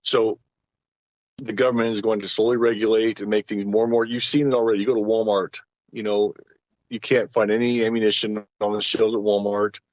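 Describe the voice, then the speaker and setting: A man's voice: fairly low pitch, little pitch movement, a little fast, no room sound. A middle-aged man giving his opinions casually as a panel guest, with a folksy way of talking, on lo-fi audio.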